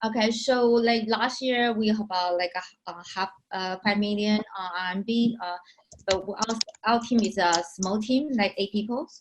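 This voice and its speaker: A woman's voice: medium pitch, moderate pace, a little hiss or hum, poor audio. Taiwanese woman founder, filler-heavy answers. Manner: loose, searching phrasing with many fillers. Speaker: company founder, guest. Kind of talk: interview answers.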